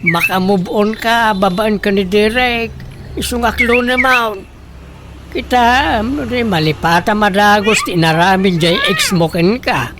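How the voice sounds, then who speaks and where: A woman's voice: mid-range voice, a little slow, noisy background, lightly acted. A woman playing a meddling older relative in a radio drama, chatty and persuasive as a matchmaker. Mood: insistent.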